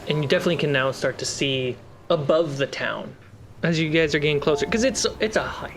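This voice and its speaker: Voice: medium pitch, a little fast, a little hiss or hum. A game master narrating a tabletop role-playing game in casual, descriptive phrases.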